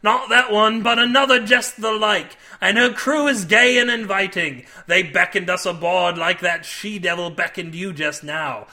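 A man's voice: high voice, normal speed, few pauses, sharp tone, pitched above the performer's own voice, exaggerated voice. A man voicing a superstitious old sailor who tells tales fervently in archaic salty seafaring speech, in an audiobook story. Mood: ominous warning. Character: wild-eyed old male sailor, superstitious and dramatic.

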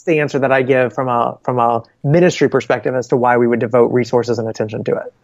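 A male interview guest speaks in an earnest, measured way and builds his explanations point by point. The recording sounds slightly dull and lo-fi, and the close, dry sound has no room echo. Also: medium pitch, fairly even intonation. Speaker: guest, Bible publishing ministry representative.

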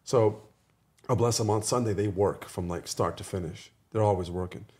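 A man's voice: lowish voice, moderate pace, darkish tone. A man guesting on a podcast, chatting informally through anecdotes, restating phrases and quoting people's dialogue. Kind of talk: casual chat, animated anecdotes.

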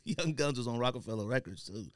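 A man's voice: mid-range voice, animated, fast talker, no echo, clean studio sound. A man co-hosting a podcast in casual banter, talking slangily and joking around. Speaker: podcast co-host. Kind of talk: casual banter about sneakers.

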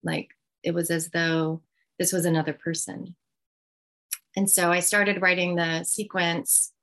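Low, gravelly-voiced woman author, halting. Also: a bit bright. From United States. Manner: thoughtful, halting, many ums. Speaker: author guest. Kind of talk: reflective interview answer.

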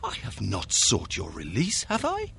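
An actor playing a proud, defensive young man hungry for wealth, husky and agitated, in a radio drama. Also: lowish voice, wildly swooping pitch, unhurried, bright, forceful tone, slight background noise, heavily acted.

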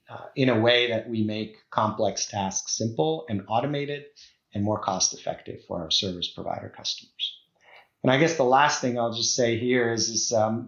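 A man's voice: medium pitch, fairly bright tone, roomy sound, highs cut off. A middle-aged man from the Czech Republic, a guest executive talking in a podcast interview, hesitant, with many fillers and restarts.

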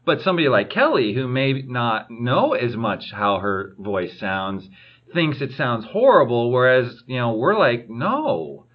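Podcast host guy, mid pitch, talks it through calmly, dull lo-fi sound. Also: measured pace, slightly rough. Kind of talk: casual chat.

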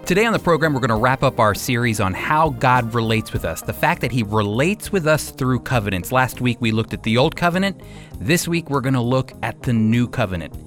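A man with an American accent hosting a radio program, teaching the Bible in an emphatic, deliberate way. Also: mid-range voice, expressive, a bit bright, faint music behind.

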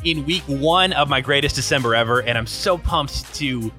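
A man's voice: mid-range voice, moderate pace, sharp tone, faint music behind. A man co-hosting a coaching podcast as producer, talking with upbeat enthusiasm. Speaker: producer and co-host. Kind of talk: casual podcast talk.

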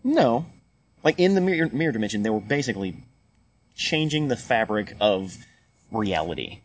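A man's voice: fairly low pitch, sing-song, normal speed. A man chatting casually about films, rambling with many restarts and fillers. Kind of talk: casual movie chat. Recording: muffled lo-fi recording with faint hiss.

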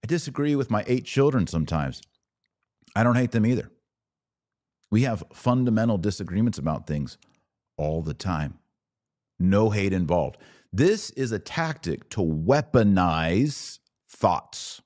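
A middle-aged American man with a fairly low voice and a very slow, measured pace. He argues like a commentator, pressing points emphatically and posing rhetorical questions. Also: expressive, a little mellow. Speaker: podcast host. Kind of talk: opinion commentary on played clips.

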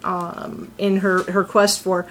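A woman's voice: medium pitch, little pitch movement, takes it slowly, a bit bright. A woman voice actor in a casual round-table chat, animatedly acting out her character's thoughts as she talks.

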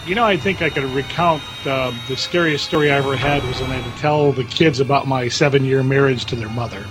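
A man's voice: medium pitch, a little fast, very noisy. A man chatting casually as a panel guest in a wry, joking manner.

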